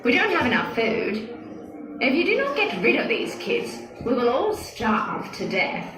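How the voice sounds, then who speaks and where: A woman's voice: a little high, wildly swooping pitch, measured pace, heavy reverb, over music, low-quality recording. A woman voicing a cruel, scheming stepmother in a storybook tale, insistent and commanding. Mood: harsh urging.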